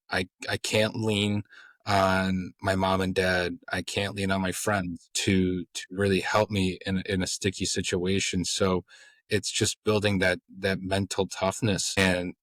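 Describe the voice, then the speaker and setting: A man's voice: low-pitched, dry recording. A young adult man with an American accent, a guest in an interview, talking earnestly and stammering over repeated words. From United States. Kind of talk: casual interview chat.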